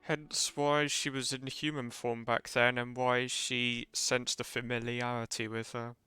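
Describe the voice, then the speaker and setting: Crisp, flat-toned man streaming a game. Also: medium pitch, unhurried, no echo, faint music behind. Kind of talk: casual live reaction commentary.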